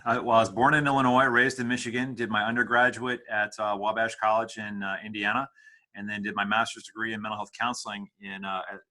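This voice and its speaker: A middle-aged man from the Midwest, answering interview questions as a charity director in a measured, hesitant way with many fillers. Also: fairly low pitch, bright, forceful tone, low-quality recording. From Midwest United States. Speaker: executive director of a homeless services charity.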